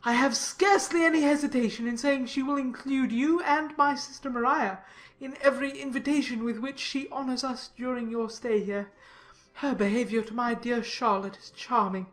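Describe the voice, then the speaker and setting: A pompous, long-winded clergyman acted by a woman speaks in a markedly high voice. He is effusive and servile, piling on deference in the manner of a dramatized period novel. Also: steady tone, normal speed, close to an everyday voice. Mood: fawning, proud. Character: pompous, fawning adult male clergyman, obsequious and long-winded. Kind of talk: dramatized audiobook character acting.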